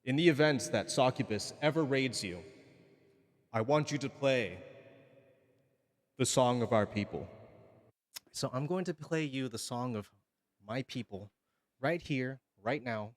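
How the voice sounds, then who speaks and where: Man, streamer, joking, lively pitch, dry sound. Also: unhurried, long pauses, no echo. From Hawaii. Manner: goofy, joking, slips into singing. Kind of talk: casual stream chat, thanking viewers.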